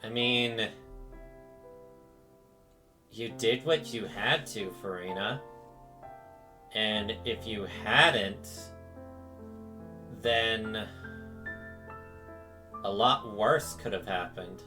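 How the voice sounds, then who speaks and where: A man's voice: lowish voice, very slow, pauses often, over music. A man streaming a casual reaction to a game, speaking hesitantly with wry sympathy. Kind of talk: casual game reaction commentary, reading along.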